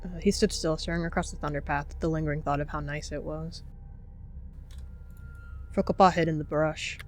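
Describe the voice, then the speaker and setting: Casting: roleplay narrator with a medium-pitched voice, dramatic third-person storytelling. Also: steady tone, fairly quick, soft background music.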